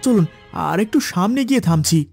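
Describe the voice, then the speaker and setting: Scared village driver, anxious, mellow tone, horror cartoon drama, faint music. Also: male voice, sits high, expressive, fairly quick, breathy edge, full character voice. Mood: alarmed. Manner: stammering when frightened. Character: frightened male village van driver, haunted and anxious.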